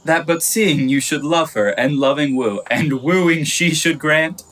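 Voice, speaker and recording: Low-voiced lovesick young man, acted by a woman. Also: unhurried, low-quality recording. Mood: warm, agreeable. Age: young adult. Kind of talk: Shakespearean radio play acting.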